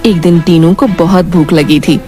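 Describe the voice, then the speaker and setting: A woman's voice: on the low side, fairly even intonation, moderate pace, dark tone, soft background music. A woman reading scripted narration for a moral bedtime story.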